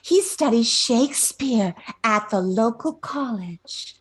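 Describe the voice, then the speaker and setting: Woman as swooping, high-voiced, flighty beauty queen. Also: expressive, slow, slightly rough, heavily acted. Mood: boastful, flustered. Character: vain, scatterbrained beauty queen with boyfriend in tow. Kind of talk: radio comedy play acting.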